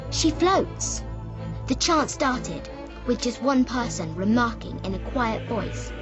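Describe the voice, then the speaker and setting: A woman gives a dramatic, suspenseful fiction reading. Her voice is fairly high and very animated, paced slowly. Music plays behind her. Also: highs cut off. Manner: deliberate, suspenseful.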